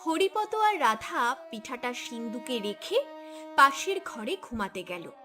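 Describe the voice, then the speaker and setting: A woman's voice: a little high, big pitch swings, measured pace, music in the background. A woman narrating a scripted cartoon story in a dramatic storytelling style.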